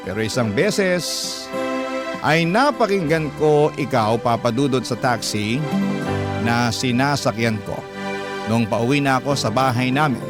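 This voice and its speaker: A man with a Filipino accent narrating a scripted story in a dramatic classic radio style, as a program host. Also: medium pitch. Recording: loud guitar music bed. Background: guitar music.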